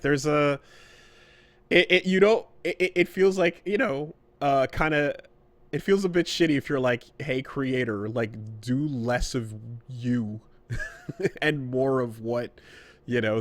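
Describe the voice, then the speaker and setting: A man with a mid-range voice hosts a podcast in a dry, close sound. He chats casually and haltingly, reworking his phrases as he thinks out loud. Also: medium pitch, dry recording. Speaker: podcast host. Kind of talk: casual conversational podcast chat.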